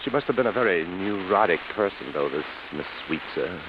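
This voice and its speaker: A sleepless, morbid artist in a vintage radio chiller. His voice is very low, theatrical and halting, building by repetition into pleading agitation. The old recording is dull and clearly hissy. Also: animated. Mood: morbid, insinuating. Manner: theatrical, halting, repeats words in mounting agitation. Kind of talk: golden-age radio horror acting.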